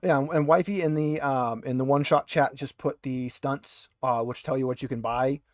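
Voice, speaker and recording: A man's voice: medium pitch, fairly even intonation, moderate pace, no room sound, clean studio sound. A man acting as game master, chatting casually and explaining the rules step by step. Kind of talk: casual tabletop game chat.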